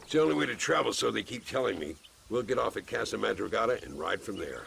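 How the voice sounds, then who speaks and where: An aging, principled gunslinger from a western game. The voice is low and full of creak, with lively swoops and a florid drawl. There is faint noise underneath. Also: male voice, quick pace. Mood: defiant. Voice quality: gravelly, creaky. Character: old weathered American gunslinger, wry, grandiose, principled. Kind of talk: video game cutscene acting.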